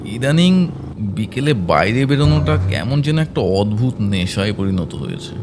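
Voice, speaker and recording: A man's voice: on the low side, very animated, moderate pace, noisy background. A man narrating a scripted first-person horror story.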